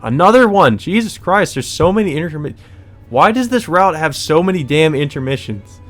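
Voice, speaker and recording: A man's voice: fairly high pitch, sing-song, moderate pace, fairly bright tone, faint music behind. A man doing a casual let's play, reading story text aloud and adding informal, sweary asides. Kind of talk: casual commentary and read-aloud narration.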